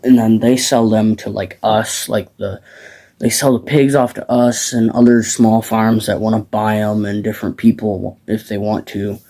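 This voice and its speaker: A man's voice: on the low side, level tone, moderate pace, warm tone. A teenage boy from the Midwest, rambling casually about farms outdoors at dusk, with lots of fillers and restarts. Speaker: farm vlogger.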